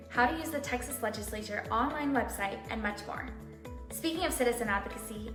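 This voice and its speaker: A woman reads promotional announcements in an upbeat, scripted way, her voice a little high. The recording sounds echoey, with clear music playing underneath.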